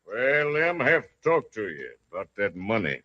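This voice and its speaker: A low, animated male voice as a folksy moneylender, regretful yet businesslike. It comes through a muffled old radio western recording. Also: normal speed, heavily acted.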